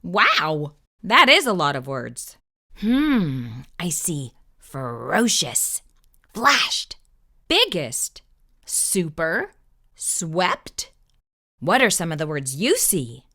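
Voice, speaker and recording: A woman's voice: low voice, very animated, drawn-out words, pushed and bright. A woman hosting a children's storytime, reading a picture book aloud expressively and putting questions to her young viewers. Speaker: children's storytime host and reader.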